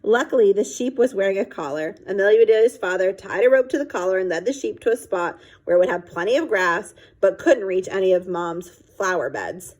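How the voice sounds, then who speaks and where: Animated woman reading a children's story. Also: mid-range voice, a little fast, few pauses. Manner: expressive, explains idioms to young listeners. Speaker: read-aloud storyteller. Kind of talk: reading a children's chapter book aloud, with brief explanatory asides.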